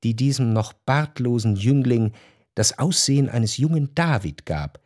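A man narrating literary prose for a radio drama in measured, deliberate phrases. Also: medium pitch, expressive, a little mellow, dry recording. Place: radio studio. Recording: dry studio with music behind.

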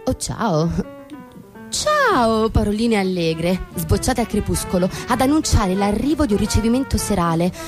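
Ironic housewife narrator, mid-range female voice, theatrical literary recitation, stage drama, clear violin music. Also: medium pitch, sing-song, a little slow. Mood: airy, theatrical.

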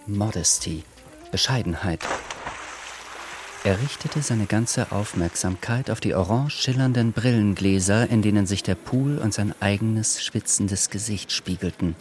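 A man's voice: fairly low pitch, measured pace, faint music behind. A man giving scripted literary narration in a radio drama.

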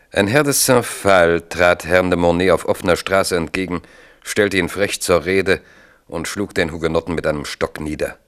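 A man narrating a 1970s radio drama in a measured, formal storytelling manner. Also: sits low, fairly bright tone.

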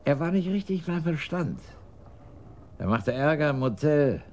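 An actor playing a surly, sly drug dealer who grumbles defiantly and evasively in a radio crime drama. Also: male voice, fairly high pitch, big pitch swings, unhurried, darkish tone. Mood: grumbling, contemptuous.

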